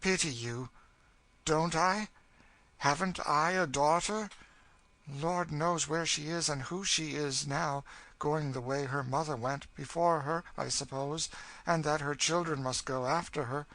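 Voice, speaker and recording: A man's voice: a little high, unhurried, a bit bright, voice pushed up. A man voicing a bitter, despairing older woman in a storybook, with a fatalistic and emphatic delivery.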